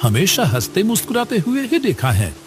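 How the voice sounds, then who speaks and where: A man's voice: sits high, huge pitch swings, moderate pace, music in the background. A man voicing a kindly, generous older village headmaster in a cartoon story, chatty and avuncular. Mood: concerned, friendly. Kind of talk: Hindi cartoon story acting.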